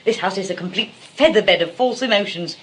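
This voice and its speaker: A woman's voice: mid-range voice, a little fast, a little hiss or hum, close to an everyday voice. Woman playing a scathing, exasperated house guest. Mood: furious, scathing. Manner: scathing, forceful, articulate. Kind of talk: theatrical stage comedy acting.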